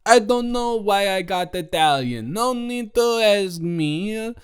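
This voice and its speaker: A man's voice: high voice, lively intonation, slow pace, clean tone. A man playing a drunk young roommate secretly in love, rambling and stammering defensively in an improvised roleplay audio drama. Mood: rambling, tipsy.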